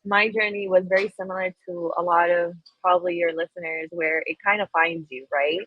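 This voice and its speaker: A woman from Colombia with a lowish voice tells her story in a relaxed, chatty way. She sounds animated and laughs easily, with plenty of fillers along the way. Her voice is dry and close, with no room sound around it. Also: fairly quick, no echo, narrow, tinny audio. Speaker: guest. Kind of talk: casual interview chat.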